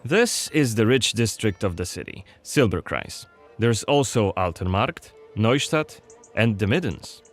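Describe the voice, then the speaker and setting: A man with a fairly low voice delivers scripted narration for a gameplay showcase. He explains things as a presenter of the game, with music playing faintly underneath. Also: animated, unhurried. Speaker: developer presenter of a game demo. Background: background music.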